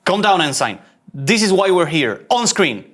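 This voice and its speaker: An actor playing an insecure, excitable fake starship captain, earnest and grandiose yet easily flustered, at a sci-fi table read. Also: medium pitch, normal speed. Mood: rallying.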